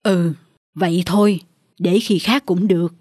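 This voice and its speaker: A woman voicing a gentle, wise and patient older mother-in-law in an animated moral tale. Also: fairly low pitch, unhurried, close to an everyday voice. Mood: resigned. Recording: background music under the voice.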